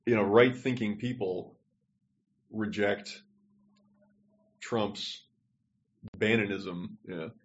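Low-ish guy rambling thru a history tangent on a podcast, kinda muffled call audio. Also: takes it slowly, pauses often. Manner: digressive, thinking aloud. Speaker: podcast co-host. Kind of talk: casual discussion.

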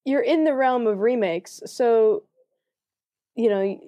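A woman's voice: on the high side, slow pace, mellow, dull audio. American woman, young adult, casual podcast guest, hesitant, often restarts sentences. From United States. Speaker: podcast guest, film student. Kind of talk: casual chat.

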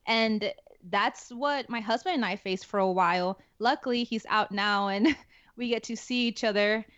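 A woman's voice: a little high, normal speed, a bit bright, clean tone, no room sound. A young adult woman with a Spanish accent, a guest in an interview, talking casually with many fillers and restarts. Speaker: guest, security forces NCO. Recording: dull lo-fi dry sound.

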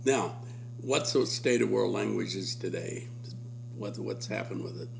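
Low gravelly guy doing the interview, keeps saying beautiful, faint hum. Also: measured pace. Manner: encouraging, praises answers. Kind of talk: interview conversation.

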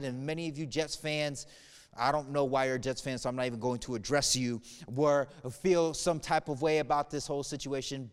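A man in his thirties with a smooth, somewhat high voice speaks earnestly to a congregation, easing from light humor into sober reflection. His voice rings with a long echo from the room. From United States. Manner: earnest, shifts between playful humor and somber reflection. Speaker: church speaker. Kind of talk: video message to a congregation. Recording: reverberant room.

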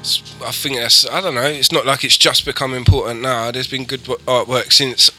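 A man's voice: mid-range voice, steady tone, a little fast, bright, forceful tone, faint music behind. A man near forty, a guest artist bantering, swearing and joking in loose slang. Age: 30s. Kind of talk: casual banter.

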